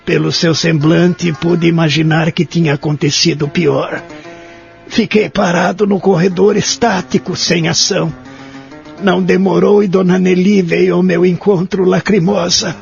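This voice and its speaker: A lonely old Brazilian man played by a male actor tells his story in an audio drama, somber and full of regret. His voice is gravelly and slightly high for a man. Music plays under the dull, lo-fi recording. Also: little pitch movement, measured pace, full character voice. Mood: somber, shaken. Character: lonely, remorseful elderly man in a care home. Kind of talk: first-person audio drama narration. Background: background music.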